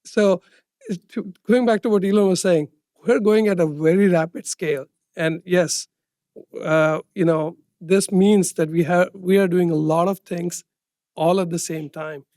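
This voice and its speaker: A man's voice: high-pitched, steady tone, a little slow, darkish tone, no room sound, studio quality. A man speaking as a company executive, explaining in answers on an earnings call and checking with short tag questions.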